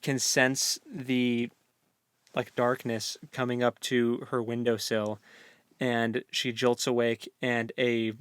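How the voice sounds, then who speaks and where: A man's voice: mid-range voice, level tone, takes it slowly, fairly bright tone, clear, resonant tone, no echo. Informal man with dry, close sound. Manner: informal, meandering, repeats words. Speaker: co-host of a book discussion show. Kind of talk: casual book discussion chat.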